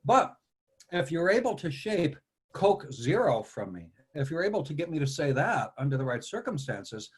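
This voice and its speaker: A man's voice: on the high side, big pitch swings, moderate pace, breathy edge. A man from the United States who is a behavior analyst, explaining ideas at length with asides in a casual panel discussion.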